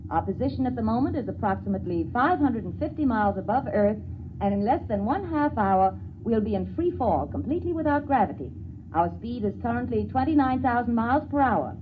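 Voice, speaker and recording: Spaceship hostess played by a woman, polished and formal, higher-pitched, very lively melody, 1950s radio drama. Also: lightly acted. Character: poised young female spaceship hostess making cabin announcements. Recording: tinny, noisy old radio recording.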